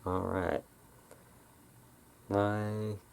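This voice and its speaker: ASMR host, deep pitch with heavy fry; casual, halting roleplay chatter. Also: fairly even intonation, a little hiss or hum. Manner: rambling, halting, informal.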